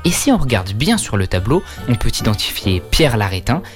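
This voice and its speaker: A man tells the story of a famous painting in a scripted, explanatory narration. He speaks at a middling pitch and turns to the viewer with quick questions and wry asides. A clear music bed plays under his voice. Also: very animated. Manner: addresses viewers directly with quizzes and asides. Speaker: educational video narrator. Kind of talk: scripted art-history narration.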